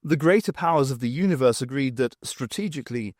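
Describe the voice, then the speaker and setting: A man voicing a brisk, sceptical woman adventurer in an audiobook, with an impatient, incredulous manner. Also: medium pitch, lively intonation, no room sound, studio quality, close to an everyday voice.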